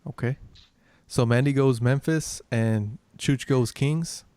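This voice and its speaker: A man's voice: medium pitch, takes it slowly, mellow, clean tone, dry recording. A man co-hosting a sports podcast, casually and somewhat hesitantly recapping betting picks.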